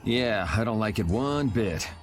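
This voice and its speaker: A man's voice: fairly low pitch, lively intonation, a little slow, faint music behind. A man voicing a laid-back, sardonic young adventurer in an anime video game dub. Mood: dry, teasing.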